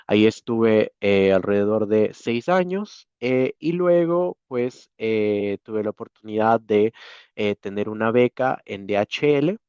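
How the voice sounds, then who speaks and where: A man with an Ecuadorian accent giving an informal webinar presentation over a video call, halting with many hesitations. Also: lowish voice, lively intonation, darkish tone, clear, resonant tone, dry recording. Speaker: guest speaker, human resources professional. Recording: dry, slightly dull online-call audio.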